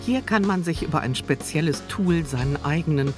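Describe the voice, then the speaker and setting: A reporter narrating a news feature in a measured way, with a lively intonation. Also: mid-range voice. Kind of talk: scripted narration. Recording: guitar music underneath.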